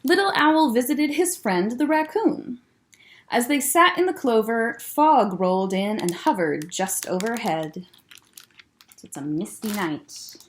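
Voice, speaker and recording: A woman who is a children's librarian, reading a picture book aloud to children in a gentle, expressive way and pointing out the pictures. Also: on the high side, a little slow, slight background noise.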